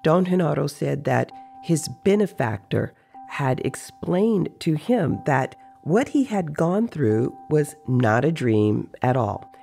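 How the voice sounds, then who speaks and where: A narrator telling a dramatized story in a measured, deliberate way with pauses. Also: mid-range voice, very animated, vocal fry, mellow. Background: music.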